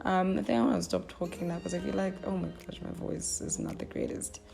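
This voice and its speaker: Gravelly-voiced woman with flu. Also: on the low side, expressive, fairly quick. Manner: chatty, step-by-step, self-deprecating. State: sick with flu, rough voice. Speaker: beauty vlogger. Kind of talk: casual makeup tutorial narration.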